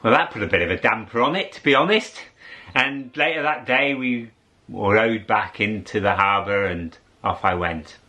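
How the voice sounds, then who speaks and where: A man telling a story aloud in a theatrical way, with dramatic pauses and drawn-out words. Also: on the low side, pushed and bright. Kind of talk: animated oral storytelling to an audience.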